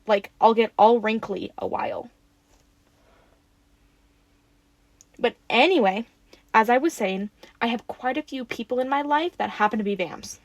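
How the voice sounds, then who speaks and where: Woman acting as a friendly excitable young woman, rambly n teasing, casual roleplay chat, super dry recording. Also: a little high, moderate pace, no room sound. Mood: playfully defensive. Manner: rambling, playfully defensive, casual. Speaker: audio roleplay voice actor. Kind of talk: ASMR audio roleplay acting, conversational.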